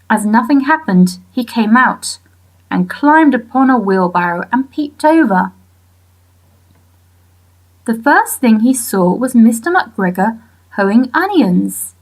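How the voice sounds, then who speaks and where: Slow, expressive woman storyteller. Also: a little high, rough recording. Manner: expressive storytelling with deliberate pauses. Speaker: audiobook narrator. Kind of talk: scripted children's story narration.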